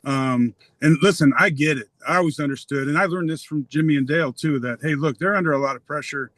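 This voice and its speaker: A middle-aged man, a retired umpire and pastor, talking softly and earnestly in a reflective conversation with many pauses and ums. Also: fairly high pitch, no room sound. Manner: soft-spoken and earnest, with frequent ums.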